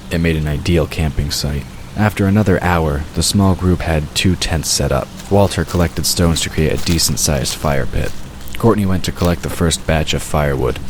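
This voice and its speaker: A man's voice: a bass voice, slight background noise. A man reading scripted fantasy story narration as the narrator of an audio drama.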